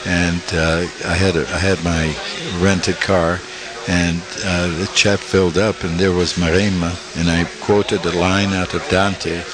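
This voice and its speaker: Older man, Italy-born, deep, halting with lots of "uh", crowd in the background. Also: flat delivery. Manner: halting, with many fillers and restarts. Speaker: guest, small press publisher. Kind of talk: interview conversation.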